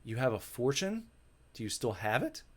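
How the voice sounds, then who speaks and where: A man's voice: mid-range voice, sing-song, normal speed. A man voicing a blunt, teasing friend in a visual novel. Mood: curious.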